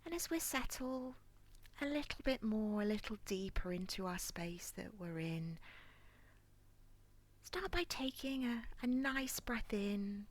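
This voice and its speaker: Very slow, breathy woman guiding relaxation. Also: medium pitch. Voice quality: soft, breathy, near-whispered. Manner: calm, gentle, soothing, unhurried. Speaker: guided relaxation instructor. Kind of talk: guided body scan meditation.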